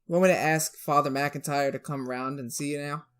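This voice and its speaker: A man's voice: on the high side, steady tone, normal speed, a bit bright, clean tone, natural voice. A man acting a world-weary, blunt defense lawyer in a retro radio drama, folksy and exasperated. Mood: subdued, evasive.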